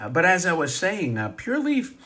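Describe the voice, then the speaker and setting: Hoarse man chatting about toys. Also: sits high, wildly swooping pitch, measured pace, pushed and bright, a little hiss or hum. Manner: chatty and rambling, many fillers and asides. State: hoarse from a cold, voice deeper. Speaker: toy robot collector and channel host. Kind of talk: casual show-and-tell vlog.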